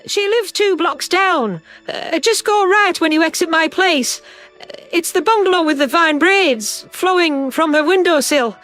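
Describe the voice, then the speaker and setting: A woman's voice: very high voice, unhurried, fairly bright tone, faint music behind. A woman playing a timid, terrified alien civilian in a sci-fi audio drama, pleading in a hesitant stammer. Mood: fearful. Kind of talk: audio drama character acting.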